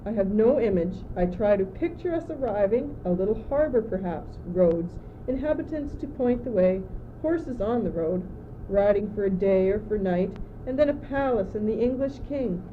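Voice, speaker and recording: A woman's voice: mid-range voice, lively intonation, moderate pace, lightly acted. Teenage girl acting fretful courtier, muffled noisy recording. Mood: doubtful. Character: gloomy, confused, doubting young courtier, drifting and fretful. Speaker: student actor. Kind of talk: amateur school radio play.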